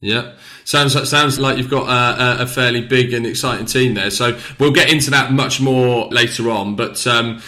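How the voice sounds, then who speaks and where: A man's voice: medium pitch, steady tone, moderate pace, sharp tone. A man hosting a casual podcast interview, asking rambling questions with hesitations and repeated words.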